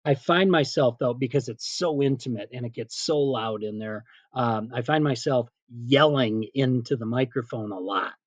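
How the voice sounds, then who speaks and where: A middle-aged man, a PA announcer being interviewed, telling casual anecdotes in an animated way and quoting remembered dialogue. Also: mid-range voice, moderate pace, hardly any pauses, dry recording. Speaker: stadium PA announcer, interview guest.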